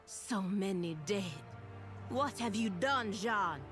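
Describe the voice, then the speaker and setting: A woman's voice: mid-range voice, takes it slowly, music in the background, natural voice. An actress playing a stern, grieving female troll leader in a video game, speaking with solemn command. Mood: grieving, reproachful. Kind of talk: dramatic video game acting.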